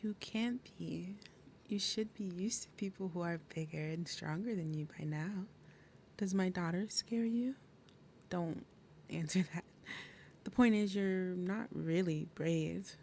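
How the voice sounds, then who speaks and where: Low-voiced, menacing demon mother, acted by a woman. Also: warm tone, slight background noise. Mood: taunting, menacing. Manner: falsely sweet, deliberate, pointed pauses, drops to breathy murmurs. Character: big menacing possessive demon mother, sweetly threatening. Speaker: roleplay audio performer. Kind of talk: ASMR roleplay, acted monologue.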